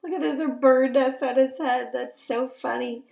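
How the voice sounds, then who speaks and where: A woman's voice: sits high, fairly even intonation, moderate pace, vocal fry, darkish tone. A woman reading a picture book aloud to young children as a teacher, stopping to ask simple questions and make exclaiming asides.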